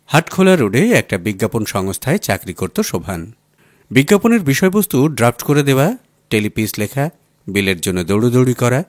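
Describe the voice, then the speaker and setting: Man reading a story aloud, lively intonation. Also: mid-range voice, no echo, clean studio sound. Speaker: audiobook story reader. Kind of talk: expressive literary story narration.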